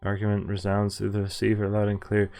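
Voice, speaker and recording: A man's voice: on the low side, monotone, fairly quick, gravelly, dark tone. Man reading a game aloud over music. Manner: jokey, swears casually. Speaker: streamer reading a visual novel aloud. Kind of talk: playthrough reading dialogue aloud with casual reactions. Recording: music under the voice.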